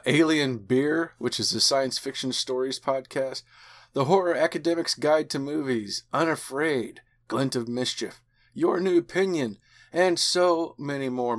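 A man's voice: medium pitch, normal speed. A man hosting a podcast in a chatty, enthusiastic way. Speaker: podcast host.

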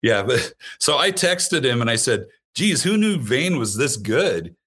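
A man guesting on a podcast in casual chat, halting and repeating his words, chuckling often. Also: medium pitch, sing-song, a bit bright, husky. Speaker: podcast guest and internet radio host.

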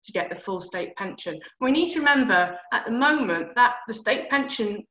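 A woman guest explaining pension steps in a clear, practical, step-by-step way. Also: on the high side, expressive, moderate pace, fairly bright tone. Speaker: personal finance guest. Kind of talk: explanatory advice in conversation. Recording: dull echoey room sound.

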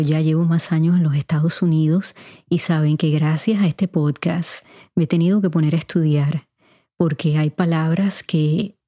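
Low, dark-voiced Puerto Rican woman host. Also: no echo, studio quality. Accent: Puerto Rican Spanish, kept mostly neutral. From Puerto Rico. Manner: chatty, unhurried, speaks straight to listeners. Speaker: podcast host. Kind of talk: answering listener questions. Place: home recording room. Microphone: studio mic.

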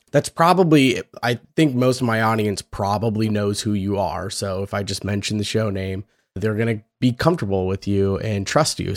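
Hoarse male podcast host, raspy, energetic, dry close sound. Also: lowish voice, no echo. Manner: energetic, loose, swears casually. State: hoarse from yelling. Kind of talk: casual podcast chat.

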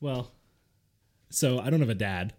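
Deep voiced guy hosting a podcast, deadpan storyteller. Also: a little slow. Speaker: podcast host. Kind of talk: casual comedic podcast banter.

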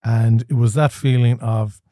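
Irish man, forties, mellow tone, dry close sound, reflective and halting, podcast host. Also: medium pitch, steady tone, no room sound. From Ireland. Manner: thoughtful, with frequent restarts and fillers. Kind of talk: reflective conversational storytelling.

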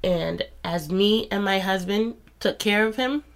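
A woman in her thirties hosts a faith podcast, stuffy and congested from a cold. She talks slowly and unhurriedly, in a chatty, encouraging tone. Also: mid-range voice. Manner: warm, chatty and encouraging, with soft laughs. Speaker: podcast host. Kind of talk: casual faith podcast monologue.

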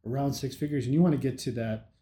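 A male podcast host with a mellow, warm voice, asking eager, rambling questions that circle back on themselves. His voice rings in a roomy space with noticeable echo. The delivery is casual and conversational. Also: medium pitch, fast talker. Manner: eager, loose phrasing with repeated words. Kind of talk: podcast interview.

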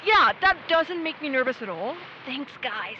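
A woman's voice: high voice, sing-song, moderate pace, sharp tone, lo-fi sound, a little hiss or hum, exaggerated voice. A woman playing a nervous young traveller in a supernatural audio drama, hesitant and dryly sarcastic. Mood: nervous, wry. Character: nervous young adult on a supernatural road trip. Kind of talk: audio drama acting, conversational.

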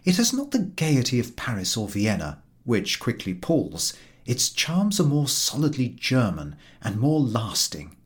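A man's voice: medium pitch, huge pitch swings, a little slow. A man reading a literary travel narrative aloud as an audiobook narrator. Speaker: audiobook reader.

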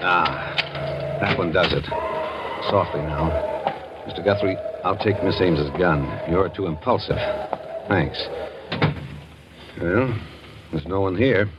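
A low-voiced, shrewd radio investigator. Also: male voice. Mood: wry, cautious. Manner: measured, wry, directing others. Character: shrewd, take-charge male investigator, folksy and wry. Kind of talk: 1940s radio thriller acting. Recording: muffled, noisy 1940s radio recording.

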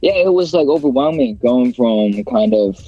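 A man's voice: mid-range voice, animated, normal speed, muffled recording, faint music behind. A young man from China, a rapper as interview guest, talking casually in a rambling way with many fillers and restarts.

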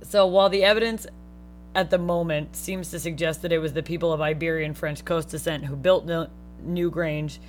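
A woman's voice: low voice, steady tone, normal speed, soft background music. A woman co-hosting a casual podcast. She reads researched notes aloud, jokes between facts, and swears casually. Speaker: podcast co-host.

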